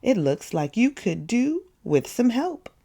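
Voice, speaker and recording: Jolly old Santa as a woman plays him, booming, hearty, kindly, storybook character, very animated intonation. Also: slow pace, vocal fry, full character voice. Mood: kindly, reassuring. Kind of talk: picture-book character voice.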